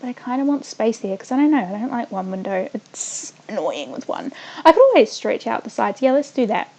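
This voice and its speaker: A woman's voice: on the high side, very animated, a little fast, darkish tone. Rambling young New Zealand woman streamer. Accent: New Zealand, slightly softened by Australia. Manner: rambling, scattered, trails off mid-sentence; hums and makes small random noises while working. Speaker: gaming livestreamer. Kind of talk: casual livestream chat while building in a game.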